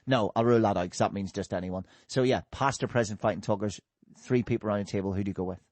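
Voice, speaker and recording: A man's voice: fairly low pitch, fast, a little mellow, no echo. Dry-sounding joking male podcast host. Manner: jokey, laughs often, restarts sentences. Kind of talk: casual panel chat.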